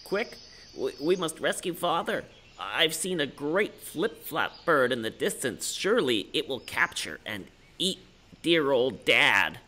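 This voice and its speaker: A man voicing excitable young spider children in a puppet story, with an urgent, exclaiming manner. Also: on the high side, measured pace, slight background noise, pitched above the performer's own voice, full character voice. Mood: urgent, heroic.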